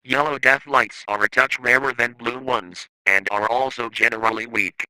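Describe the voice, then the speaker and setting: Male narrator for analog horror informational piece: formal, instructional, sharply bright tone, dry close sound. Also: medium pitch, fairly even intonation, normal speed, clean tone, dry recording, rough recording.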